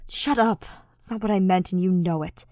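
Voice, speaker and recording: A woman's voice: lowish voice, normal speed, dark tone, dry recording, clean studio sound, lightly acted. A woman voicing a brusque, impatient young male fighter with a disdainful manner in an audiobook story. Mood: exasperated. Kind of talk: fan fiction audiobook character voice.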